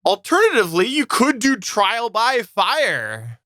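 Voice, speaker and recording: A man's voice: high-pitched, huge pitch swings, a little slow, pushed and bright, dry recording, studio quality. A man co-hosting a podcast, delivering scripted advice. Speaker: podcast co-host.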